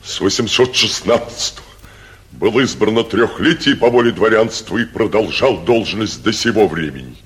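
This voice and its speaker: A man playing a timid, obsequious provincial judge in a classic Russian stage comedy for radio, halting and servile, muttering anxious asides. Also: on the low side, lively intonation, a bit bright, husky. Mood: servile, nervous. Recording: muffled 1949 radio theatre recording.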